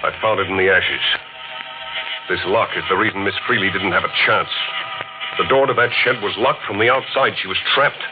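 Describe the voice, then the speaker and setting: An actor playing an earnest doctor in a 1940s radio drama, talking firmly and urgently. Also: male voice, low-pitched, brisk, close to an everyday voice. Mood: grave. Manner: firm, urgent. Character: earnest male doctor, the hero. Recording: tinny old radio broadcast with music.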